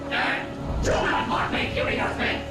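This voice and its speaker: A man's voice: slow, close to an everyday voice. A man playing a pompous, theatrical alien conqueror villain in a video game, declaiming grand threats. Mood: grandiose threatening. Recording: heavily echoing, distant voice over loud music.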